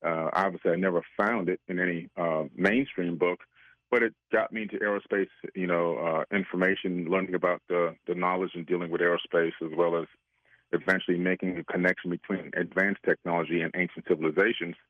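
A man in his fifties talks on a radio call-in, chatty and rambling, laughing easily between thoughts. His voice is low and comes through a tinny phone line. The sound is dry. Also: fairly even intonation, brisk, no room sound. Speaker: regular guest, researcher.

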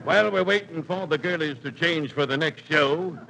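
Slick theater candy hawker, high voice, old time radio patter, noisy recording. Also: male voice, gravelly, sharp tone. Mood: slick, teasing. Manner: showman's patter, deliberate, suggestive wisecracks. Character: slick burlesque theater candy pitchman hawking wares. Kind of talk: comic sales spiel, 1940s radio.

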